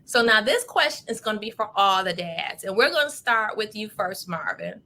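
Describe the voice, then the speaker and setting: A woman's voice: mid-range voice, animated, moderate pace, bright, forceful tone. An American woman hosting a panel show in an upbeat style, introducing guests and putting questions to them. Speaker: host of a self-care show.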